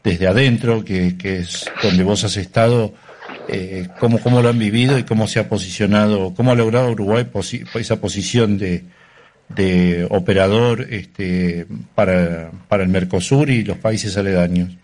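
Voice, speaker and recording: A man hosting an interview, asking halting, conversational questions and backing up his guest with short replies. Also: lowish voice, steady tone, darkish tone. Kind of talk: radio-style interview.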